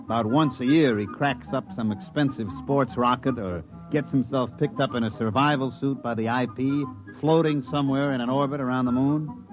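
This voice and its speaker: A man's voice: mid-range voice, fairly even intonation, normal speed, muffled recording, music in the background, lightly acted. A man acting a folksy, wry baseball coach in a 1950s radio drama, with dry, colloquial delivery.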